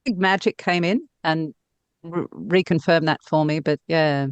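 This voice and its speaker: Female interview guest, slightly low pitch, very dry sound, casual and thinking aloud. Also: unhurried, smooth, dry recording. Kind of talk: casual reflective interview talk.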